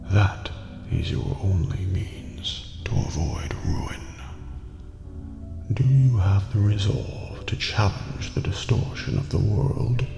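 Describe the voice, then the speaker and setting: A man acts out an old, otherworldly prison master with courteous, ominous formality. His low, gravelly voice slips toward a whisper in this ASMR roleplay, with long hall reverb around it and music behind. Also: dark tone. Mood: courteous, mysterious. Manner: formal, deliberate, ominous, often near whispering.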